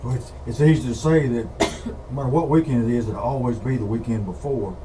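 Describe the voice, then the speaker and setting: A man in casual radio chat, making quick suggestions and corrections. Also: mid-range voice. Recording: background noise.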